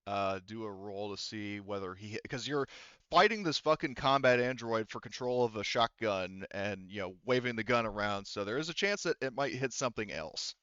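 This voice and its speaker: Smooth, lowish-voiced man running a game. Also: expressive, normal speed, few pauses, pushed and bright, no room sound, dull audio. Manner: profane, informal. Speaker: game master. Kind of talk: casual tabletop game narration.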